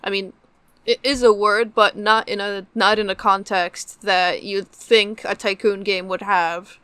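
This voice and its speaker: A woman's voice: medium pitch, steady tone, unhurried, a bit bright, smooth, dry recording. A young adult woman, a native Polish speaker, answering interview questions casually as a guest. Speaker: guest, game translator and developer.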